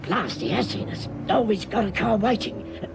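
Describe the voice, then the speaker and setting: An actor playing an eager, loyal, colloquial working-class sidekick who defers chirpily in a vintage radio serial. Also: male voice, lively intonation, moderate pace, creaky. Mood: excited. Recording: noisy old radio recording, engine rumble.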